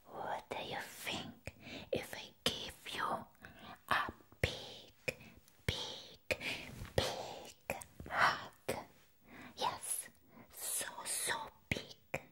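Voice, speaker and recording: A woman who is not a native English speaker, voicing a sweet, doting vampire in a breathy whispered ASMR roleplay, repeating tender words softly. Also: extremely slow, long pauses. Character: sweet, doting female vampire, adoring and clingy.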